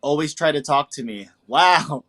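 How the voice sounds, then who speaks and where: A man co-hosting a podcast, chatting in a relaxed, slangy way and reacting quickly to his co-host. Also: on the high side. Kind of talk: casual chat.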